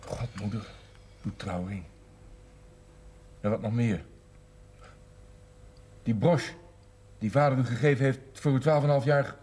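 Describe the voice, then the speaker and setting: A husky, dark-voiced reproachful son. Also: male voice, medium pitch, steady tone, measured pace, pauses often. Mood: shocked, reproachful. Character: reproachful grown son confronting his mother. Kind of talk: naturalistic 1970s television drama acting.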